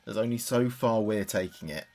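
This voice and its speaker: A man's voice: on the low side, moderate pace, a little mellow, faint music behind. An actor playing a grieving adult son in an audio drama, speaking hesitantly and confidingly as if taping messages for his dead father. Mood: loud, cheerful. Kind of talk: audio drama monologue as a taped diary.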